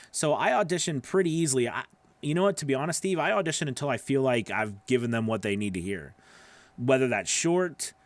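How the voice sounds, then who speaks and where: A man's voice: mid-range voice, no room sound. A middle-aged man giving casual, conversational advice as a host, emphatic and often checking in with the listener, close on a studio microphone. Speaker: host, voice-over coach. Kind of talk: casual advice to live viewers' questions. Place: small home studio, indoors. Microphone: broadcast microphone on a boom arm, close.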